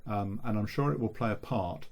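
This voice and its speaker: Low, gravelly, thoughtful man. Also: a little mellow. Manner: measured, thoughtful. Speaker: interview guest, local history researcher. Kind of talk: reflective radio interview.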